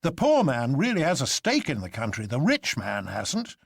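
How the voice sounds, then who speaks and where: Audiobook role: scornful, didactic detective played by a man, emphatic, highly varied pitch, slightly rough voice. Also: huge pitch swings, normal speed, no room sound, studio quality, heavily acted. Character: scornful, worldly male detective, lecturing his companion.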